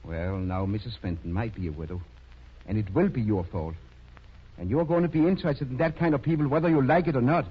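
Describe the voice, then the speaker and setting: An actor playing a stern country doctor who scolds and commands, in 1930s radio drama style. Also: male voice, medium pitch, brisk, husky, natural voice. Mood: scornful, accusing. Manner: severe, reproachful, commanding. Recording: muffled, lo-fi 1930s radio recording.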